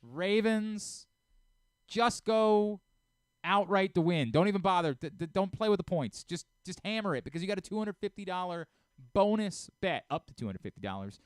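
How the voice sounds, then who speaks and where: A man's voice: sits high, expressive, normal speed, clean tone, dry recording, studio quality. An American man hosting a casual sports talk radio show, chatting and reading ads. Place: radio studio.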